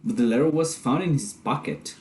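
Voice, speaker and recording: A man's voice: medium pitch, fairly quick, a little mellow, natural voice. A man performing in a readers theater as a guarded husband, skeptical and questioning about a plan to save his wife. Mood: puzzled, doubtful. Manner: doubtful, questioning.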